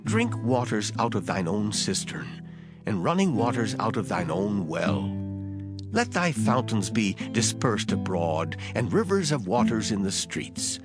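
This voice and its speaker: A man's voice: fairly low pitch, big pitch swings, unhurried. A man narrating a dramatised scripture reading with a solemn, declamatory delivery. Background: music.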